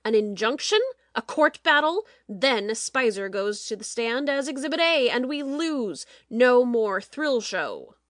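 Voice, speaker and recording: A woman playing a domineering, cold-blooded TV producer in a dramatic audiobook, speaking in a forceful, pointed way. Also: on the high side, expressive, unhurried, hardly any pauses, no room sound, clean studio sound. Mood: angry, harsh. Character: hard, calculating TV show producer, domineering.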